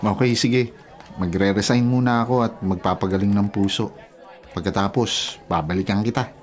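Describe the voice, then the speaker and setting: A man's voice: fairly low pitch, steady tone, moderate pace, lightly acted. Guy playing lovesick young filipino schemer with a guilty streak, radio drama, music playing behind. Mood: remorseful, subdued.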